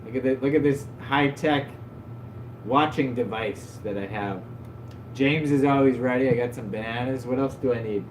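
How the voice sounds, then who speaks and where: Man, mid-pitch, casual stream host, loose rambling delivery, roomy echo with a faint hum behind. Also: normal speed. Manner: rambling, loose, peppered with "like" and self-mocking laughs. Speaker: livestream host. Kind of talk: casual stream chat, storytelling. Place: at his desk at home. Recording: echoey room, faint background hum.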